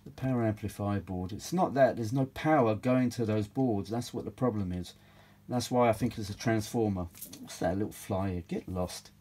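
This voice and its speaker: A man's voice: lowish voice, moderate pace, mellow, slightly rough. A British man chatting casually and ramblingly as he works on his hobby, in a grumbling, world-weary, mildly sweary manner. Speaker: vintage audio repair hobbyist presenting his own videos. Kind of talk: casual rambling workbench chat.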